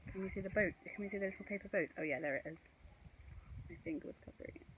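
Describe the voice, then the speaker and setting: Very lively woman reading to children. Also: mid-range voice, rapid-fire, a little hiss or hum, rough recording. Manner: expressive, sing-song, addresses child viewers. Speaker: story reader. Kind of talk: children's picture-book read-aloud with chatty asides.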